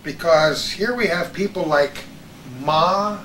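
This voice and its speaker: Older man reminiscing, slightly high voice, echoey room. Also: takes it slowly, fairly bright tone. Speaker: host. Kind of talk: casual reminiscing chat about old radio. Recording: echoey room with background noise.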